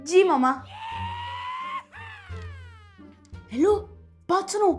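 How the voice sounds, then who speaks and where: A woman's voice: very high-pitched, lively intonation, moderate pace, soft background music. A woman playing a cheeky, lazy schoolboy son in a comic family skit, with a bossy, mischievous manner. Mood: sneaky.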